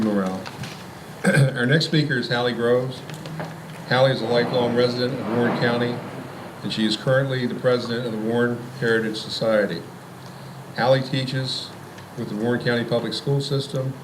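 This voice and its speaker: Man introducing speakers, distant and noisy. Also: medium pitch. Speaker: event host introducing speakers. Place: live historic house tour event. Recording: noisy, distant microphone live recording.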